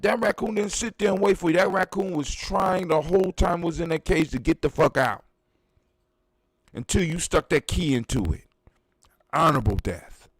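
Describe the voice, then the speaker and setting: Animated man in his fifties, dry sound. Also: sits high, gravelly, breathy edge, no room sound. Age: 50s. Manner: animated, profane, emphatic ranting. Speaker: podcast host. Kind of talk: casual chat.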